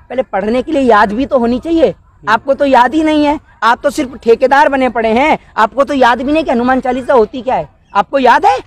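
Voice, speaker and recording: High-pitched indignant young man. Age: 20s. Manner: indignant, argumentative, rhetorical questions. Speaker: man interviewed by reporters. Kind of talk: impassioned street interview on politics. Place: outdoors by a wall. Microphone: cluster of handheld news microphones held close.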